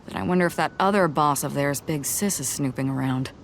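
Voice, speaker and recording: A woman's voice: sits low, fairly quick, a little hiss or hum. An actress voicing a bold, confident female sea captain in a video game, blunt and commanding. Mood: matter-of-fact.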